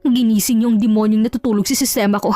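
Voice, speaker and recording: Casting: female reader as vengeful young heroine, emotional and fiery, high pitch, dry audio-drama sound. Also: steady tone, fast, dark tone, no room sound, faint music behind, close to an everyday voice. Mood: defiant, angry. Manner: emotional, defiant. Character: wronged young woman, proud, fiery, vengeful daughter.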